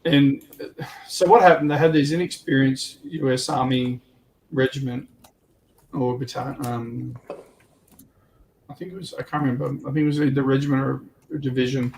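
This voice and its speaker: A man in casual, halting discussion as a livestream co-host, thinking aloud in an informal way. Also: mid-range voice, fairly even intonation, gravelly, a little mellow, rough recording. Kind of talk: casual hobby and military history discussion. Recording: faint background noise, room ambience.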